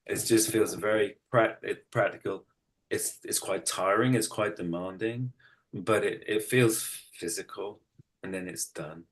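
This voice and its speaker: A man's voice: on the low side, measured pace, rough recording. A middle-aged British man talking casually as an interview guest, explaining things in a matter-of-fact, self-deprecating way. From United Kingdom. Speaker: interview guest, energy practitioner and massage therapist.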